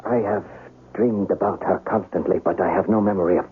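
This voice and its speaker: A man's voice: deep voice, steady tone, brisk, breathy edge, full character voice. A man playing a proud, devoted young samurai master in a radio drama, formal and earnest. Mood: shaken. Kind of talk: old-time radio drama acting. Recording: muffled radio recording with faint noise.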